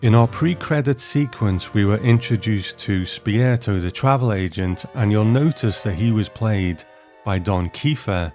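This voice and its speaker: Low-voiced man narrating commentary. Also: unhurried, a little mellow, soft background music. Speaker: host of a commentary show on an old TV series. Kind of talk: scripted narration, episode commentary.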